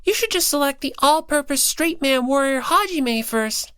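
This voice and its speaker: Teenage gamer girl acted by a woman, extremely high voice, sweet yet matter-of-fact, close and dry. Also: expressive, moderate pace, fairly bright tone, dry recording. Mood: calm, matter-of-fact. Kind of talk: audiobook character acting.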